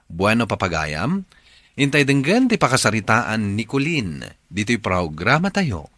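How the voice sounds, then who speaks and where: Lalaking tagapagsalaysay, masigla ang tono. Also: male voice, on the low side, very animated, unhurried, a bit bright, dry recording. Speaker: radio drama host and narrator. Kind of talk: scripted radio drama narration, letter reading.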